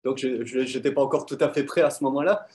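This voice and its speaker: A man's voice: mid-range voice, quick pace, darkish tone. Hesitant man answering a quiz host. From Belgium. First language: French. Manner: hesitant, many fillers, thoughtful. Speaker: quiz winner, guest. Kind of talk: casual interview answers over an online call.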